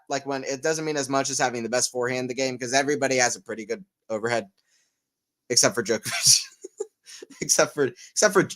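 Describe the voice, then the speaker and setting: Male watchalong commentator, mid-range voice, relaxed chat between points that jumps into excited calls. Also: medium pitch. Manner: excitable on rallies, rambling and self-mocking between points. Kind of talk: live play-by-play and casual chat.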